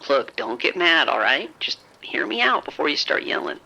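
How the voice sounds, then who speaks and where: An actor playing a reckless gambler in an audio drama, cocky and breezy, always coaxing and persuading. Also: male voice, a little high, sing-song, moderate pace, creaky, pushed and bright, heavily acted, poor audio.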